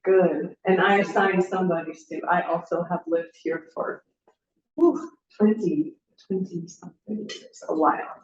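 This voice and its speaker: A woman's voice: lowish voice, a little slow. A middle-aged woman leading a language teacher training, friendly and encouraging, putting questions to the group. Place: classroom. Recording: roomy, distant, slightly dull.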